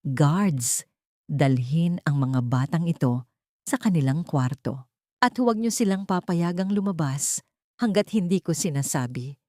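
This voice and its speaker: A woman's voice: low-pitched, animated, a little slow, warm tone, no room sound, clean studio sound. A woman voicing a cold, cruel rich woman who commands and mocks, in an audiobook drama. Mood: cold, commanding. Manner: menacing, commanding, mocking. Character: cold, cruel rich woman hiding malice behind sweetness.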